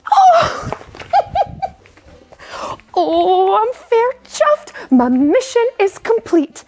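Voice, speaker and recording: Very high-voiced Scottish pantomime fairy godmother played by a woman. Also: big pitch swings, extremely slow, noisy background, full character voice. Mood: delighted. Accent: north-east Scottish Doric. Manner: rhyming, exclaiming, theatrical. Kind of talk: pantomime rhyming monologue.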